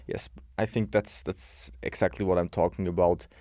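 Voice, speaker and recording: Man, 20s, frank and opinionated, fairly low voice, dry close sound. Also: flat delivery, normal speed, a little mellow, dry recording. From Switzerland. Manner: frank, opinionated, thinks aloud with restarts. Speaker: guest, bodybuilding and health coach. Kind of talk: casual podcast interview.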